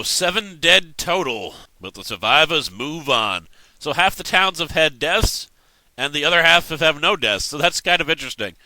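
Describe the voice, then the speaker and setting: A man's voice: fairly high pitch, sharp tone, dry recording, poor audio. Congested young man rambling through game news. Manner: rambling, self-correcting, joking asides. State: congested, just woke up. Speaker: host giving game news. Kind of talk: casual commentary.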